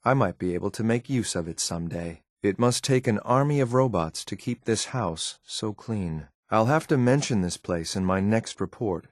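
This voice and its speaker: A man voicing a stoic, dutiful synth agent for a video game, speaking in a clipped, measured, formal deadpan. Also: lowish voice, no echo, clean studio sound, close to an everyday voice. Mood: detached, observant. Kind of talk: scripted video game companion lines.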